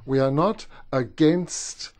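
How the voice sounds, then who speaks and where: A man with a strong Austrian German accent, a native German speaker, speaking haltingly as a trade union representative at a conference. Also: a little high, darkish tone. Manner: halting, with many fillers and restarts. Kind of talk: conference speech.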